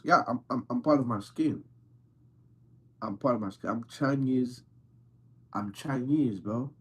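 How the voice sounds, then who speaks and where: A man's voice: mid-range voice, husky. A man hosting a casual livestream, answering viewers' questions in an insistent, playful way and repeating himself for emphasis. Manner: playful, teasing. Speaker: livestream host answering chat.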